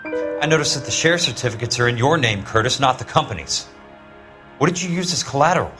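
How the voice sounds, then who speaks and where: A man's voice: medium pitch, animated, brisk, pushed and bright, soft background music. An actor playing a relentless male investigator in a video game, terse, commanding and confrontational. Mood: accusing. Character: hard, blunt male investigator, relentless and accusing. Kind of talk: dramatic video game acting.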